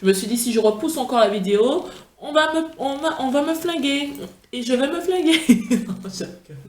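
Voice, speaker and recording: A woman's voice: high voice, animated, moderate pace, darkish tone, a little hiss or hum. Chatty, tired woman in an echoey room. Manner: chatty, playful, gushing with enthusiasm. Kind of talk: casual product-review vlog.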